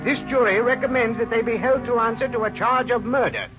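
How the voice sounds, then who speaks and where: A man's voice: very high voice, a little fast, music in the background. A man acting a formal, declamatory official reading out a verdict in a 1930s radio drama. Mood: formal, solemn. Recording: dull old radio recording.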